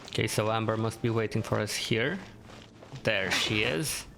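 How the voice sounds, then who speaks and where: A man's voice: lowish voice, moderate pace, vocal fry, background noise. A man giving casual, laid-back gameplay commentary, muttering to himself as he plays. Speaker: gamer. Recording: game music behind voice. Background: game music.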